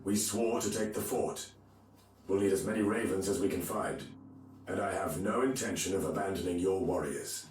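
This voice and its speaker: An actor playing an honourable medieval knight in a video game, speaking in a formal, composed manner. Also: male voice, low voice, normal speed, darkish tone, lightly acted. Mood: calm, loyal. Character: steadfast male medieval knight, measured, honourable. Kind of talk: dramatic video game acting. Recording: echoey, slightly distant, music underneath.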